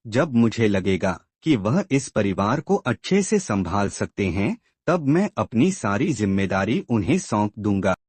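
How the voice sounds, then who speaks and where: A man playing an ailing, gentle old father in a cartoon moral story, earnest and emotive. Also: on the low side, very animated, a little slow, hardly any pauses, husky, dry recording, heavily acted. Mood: reflective.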